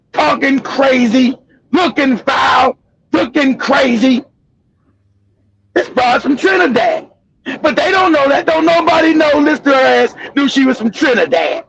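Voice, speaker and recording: A man hosting a show, delivering a loud, profane, mocking commentary rant. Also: very high-pitched, gravelly, slightly rough, highs cut off. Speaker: show host.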